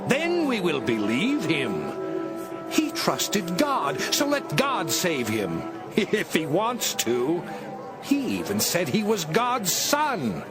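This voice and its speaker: A man's voice: sits high, wildly swooping pitch, heavily acted. An actor playing a scornful, self-righteous religious leader, sneering and drawing out his words in a Bible drama. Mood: scornful mocking. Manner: sneering, drawn-out. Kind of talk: dramatized audio Bible acting. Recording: loud music behind.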